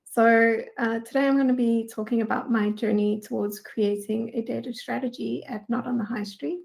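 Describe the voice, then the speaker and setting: A South African woman presents as a guest speaker on a webinar. Her voice is fairly high with a strong creak, and her delivery is prepared yet informal and conversational. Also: fairly even intonation, normal speed, a little mellow, poor audio. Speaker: guest speaker, data engineering manager.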